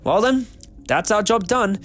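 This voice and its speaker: Excitable, eccentric time traveller for audio drama , male actor, very lively intonation, music behind. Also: high-pitched, measured pace. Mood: urgent, brisk. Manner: animated, exclamatory. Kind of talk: fan audio drama acting.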